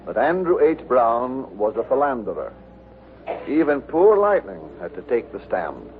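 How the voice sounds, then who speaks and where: A male storyteller narrating an old radio comedy. His voice sits at a middle pitch and his delivery is measured. The recording is tinny with faint hiss. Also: sing-song. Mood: storytelling. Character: male storyteller recounting a comic trial. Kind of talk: scripted narration, old-time radio sitcom.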